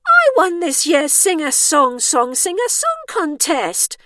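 A woman's voice: sits high, very animated, measured pace, a bit bright, dry recording, clean studio sound, voice pushed up, exaggerated voice. A woman voicing a vain, entitled singing starlet in an audiobook, dazed and petulant, with an accusing, aggrieved manner. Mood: aggrieved, boastful. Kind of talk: audiobook character voice.

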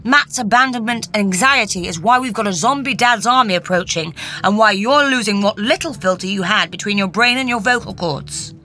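A woman voicing a calm, brisk and eccentric male time-traveling doctor. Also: medium pitch, slight background noise, voice pushed up, natural voice.

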